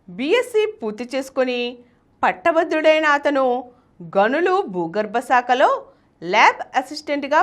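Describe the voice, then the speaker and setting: High-pitched, very expressive woman narrator. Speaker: host and reader of a business success story show. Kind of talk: scripted storytelling narration.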